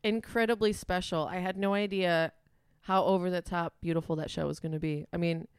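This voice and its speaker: Woman, Wisconsin accent, young adult, chatty interview guest, lowish smooth voice. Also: fairly even intonation, brisk, no room sound, clean studio sound. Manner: chatty, frequent "like" and "um", laughs easily. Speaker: musician guest. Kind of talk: casual interview chat.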